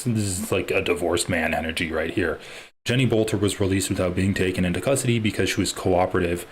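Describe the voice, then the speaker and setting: A man's voice: deep voice, a little hiss or hum. A man with a Midwestern American accent hosting a casual political livestream, sarcastic and full of swearing. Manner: profane, mocking, sarcastic.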